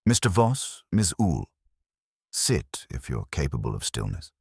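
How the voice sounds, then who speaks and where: Man acting exacting detective genius, audiobook mystery, very low husky voice, formal, measured, commanding. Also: huge pitch swings, no room sound, clean studio sound, heavily acted. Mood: curt, imperious.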